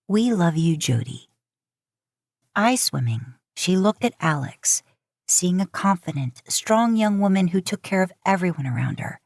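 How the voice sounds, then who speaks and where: A woman's voice: on the low side, no echo, studio quality. A woman reading a story as a scripted narration. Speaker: podfic narrator. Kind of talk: scripted narration of fan fiction.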